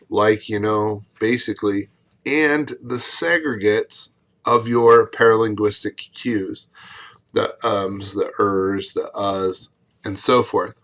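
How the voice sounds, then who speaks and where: A middle-aged man, a college speech instructor, explaining course material in a recorded video lecture, measured and explanatory, with fillers and small restarts. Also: medium pitch, a bit bright.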